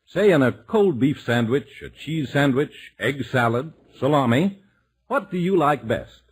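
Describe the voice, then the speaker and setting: A husky man reading a vintage radio advertisement as its commercial announcer. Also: mid-range voice, lively intonation, measured pace.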